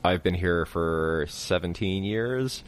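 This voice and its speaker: A man's voice: low voice, takes it slowly. A man with a Midwestern American accent hosting a podcast interview, speaking hesitantly with frequent ums and restarts. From Kansas, United States. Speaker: podcast host. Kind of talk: casual interview chat.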